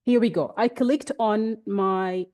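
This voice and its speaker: A young woman whose first language is Arabic, presenting a webinar and walking through software on screen in a chatty, explanatory way with frequent restarts. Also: mid-range voice, takes it slowly. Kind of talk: screen-share software demonstration.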